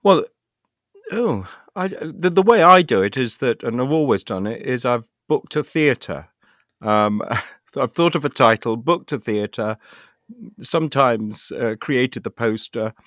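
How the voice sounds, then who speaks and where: A man's voice: medium pitch, animated, a little slow, a bit bright, dry recording, studio quality. A middle-aged British man chatting casually as an interview guest, self-deprecating and thinking aloud as he rambles. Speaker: guest, actor and writer.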